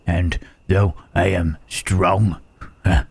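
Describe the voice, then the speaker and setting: Man acting wary gentle creature, very slow, low-pitched, hushed, halting, old-fashioned wording, intimate ASMR roleplay. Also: flat delivery, vocal fry, breathy edge, no room sound, exaggerated voice. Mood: wary, confiding. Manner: halting, formal archaic phrasing, questioning "huh".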